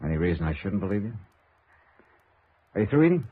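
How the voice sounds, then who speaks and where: Low-voiced hard-boiled radio detective. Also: male voice, very animated, fast, slightly rough. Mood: cool, guarded. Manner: clipped, hard-boiled, dry. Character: tough, wry male freelance insurance investigator. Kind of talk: 1950s radio noir acting and narration. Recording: dull vintage radio recording, faint music.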